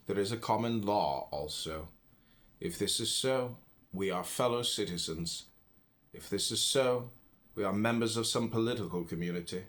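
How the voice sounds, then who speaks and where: A man from the United States reads philosophical writing aloud. His voice sits a little low. He delivers it deliberately, one phrase at a time, leaving pauses between them. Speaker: audiobook reader. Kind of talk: measured reading aloud of philosophical text.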